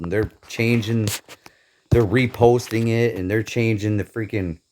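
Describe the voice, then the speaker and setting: Brief: low-pitched American man from the Midwest, angry and swearing, vlog rant. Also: a little mellow. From Northeast Indiana, USA. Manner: bitter, profane, emphatic complaining. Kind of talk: angry personal rant to camera.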